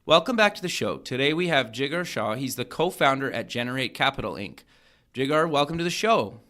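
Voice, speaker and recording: A man's voice: mid-range voice, very animated, a little fast, sharp tone. A man hosts an interview podcast and welcomes his guest in a plain, everyday voice. He asks hesitant questions padded with "um" and "kind of". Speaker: podcast host. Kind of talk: interview host.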